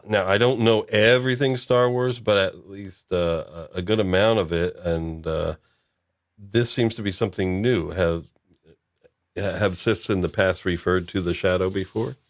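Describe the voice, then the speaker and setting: Man, low voice, podcast host, casual discussion. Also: measured pace, gravelly.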